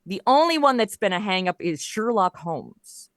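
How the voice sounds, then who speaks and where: A woman chatting casually as a podcast guest, telling things in an animated, conversational way and voicing bits of dialogue as she goes. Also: mid-range voice, normal speed, dry recording, clean studio sound. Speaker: podcast guest, audio drama creator and voice performer. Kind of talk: casual interview chat.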